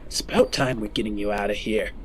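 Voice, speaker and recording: A man's voice: on the low side, fairly even intonation, fast, fairly bright tone, slight background noise, close to an everyday voice. A man voicing a stocky, scolding working man in a tabletop game, bossy and impatient. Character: stocky working man, gruff scolding older-brother type.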